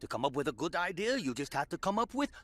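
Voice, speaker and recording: A man's voice: fairly high pitch, sing-song, quick pace, a crowd in the background. A man playing a genial historical inventor who gives sage advice, in an educational cartoon style. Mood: wry.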